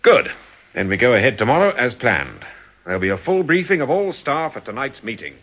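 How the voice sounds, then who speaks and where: An actor playing a genial, piously courteous English boss of ex-convict swindlers in a radio drama, suave and measured. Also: male voice, mid-range voice, sing-song, lo-fi sound, lightly acted. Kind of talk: British radio drama acting. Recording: faintly noisy radio drama recording.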